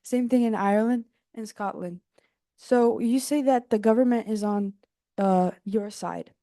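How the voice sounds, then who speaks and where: A young woman in the audience asks a question in a casual, searching way. Her voice is warm and mellow, and the sound is dry and close. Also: fairly high pitch, a little slow, no room sound. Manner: casual, searching phrasing with fillers. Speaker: audience member asking a question. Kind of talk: conference Q&A question.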